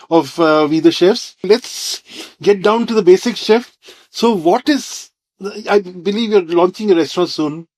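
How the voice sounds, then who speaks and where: A man's voice: sits high, darkish tone, no echo. A man with an Indian English accent hosting an interview show, rambling through his introductions with frequent uhs and restarts. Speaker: host.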